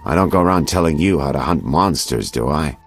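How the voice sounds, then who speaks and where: A man's voice: a bass voice, expressive, a little fast, exaggerated voice. A man playing a seasoned, self-assured detective in a video game, talking with condescending confidence. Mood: assured, defensive.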